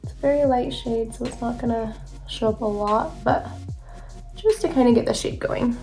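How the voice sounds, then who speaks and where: Young woman beauty vlogger, rambling and chatty, slightly stuffy nose, fry in a higher voice, soft music under. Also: moderate pace, low-quality recording. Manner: chatty, rambling, self-correcting. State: congested from allergies. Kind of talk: chatty makeup tutorial.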